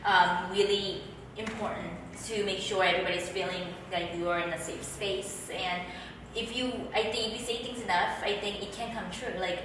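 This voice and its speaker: A woman's voice: medium pitch, fairly even intonation, normal speed, noisy background. A woman hosting an interview, upbeat and encouraging, chatty with frequent fillers, recorded from a distance in a room. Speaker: host. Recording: echoey room from far off.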